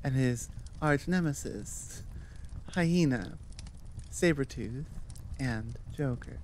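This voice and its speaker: A woman creator chatting casually to her listeners in a rambling outro, halting and thinking aloud with self-aware asides. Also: a bass voice, darkish tone, a little hiss or hum. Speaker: audio roleplay creator and host.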